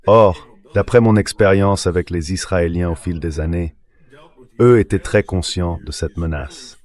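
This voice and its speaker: A man's voice: deep voice, a little slow, darkish tone. A man's synthetic dubbing voice, speaking as a guest giving translated interview commentary.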